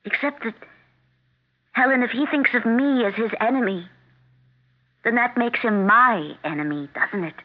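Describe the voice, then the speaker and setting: A woman's voice: a little high, moderate pace, long pauses, a little hiss or hum, natural voice. An actress playing a troubled, politely insistent woman in a 1970s radio drama, uneasy in manner. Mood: troubled, probing. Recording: tinny, narrow-band 1970s radio broadcast recording.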